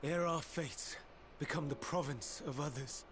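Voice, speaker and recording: An actor playing a remorseful scholarly man in a game cutscene, speaking slowly and formally. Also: a little high, background noise, natural voice. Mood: sorrowful. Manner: slow, formal, sorrowful speech. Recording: game audio with music score.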